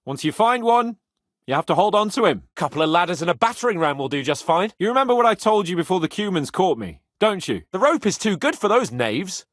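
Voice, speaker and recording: A man's voice: sits high, sing-song, a little fast, a bit bright, no echo, studio quality. A man playing a cocky, hot-tempered young medieval nobleman in a video game, loyal but boastful, with a swaggering, teasing manner. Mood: indignant, exasperated. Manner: swaggering, teasing, colourful swearing. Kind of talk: video game character acting.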